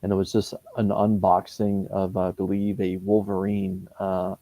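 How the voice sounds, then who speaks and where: A Canadian man in his fifties, a comic book YouTuber talking casually in an interview, pausing often with fillers and restarts. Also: sits low, monotone, warm tone, no echo. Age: 50s. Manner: hesitant, many fillers and restarts.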